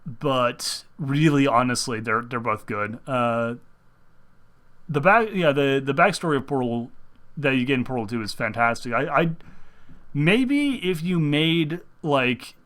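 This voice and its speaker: A young man talks in a loose, rambling way, often breaking off and restarting mid-thought. His voice is bright and forward, and it jumps up with excitement when an idea grabs him. He is hosting a casual chat about games. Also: medium pitch, unhurried. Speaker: host.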